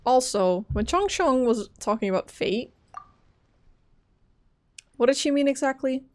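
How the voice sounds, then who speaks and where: A woman's voice: high voice, expressive, a little slow, a little mellow. A woman giving casual, playful and wry reactions as she streams a story game.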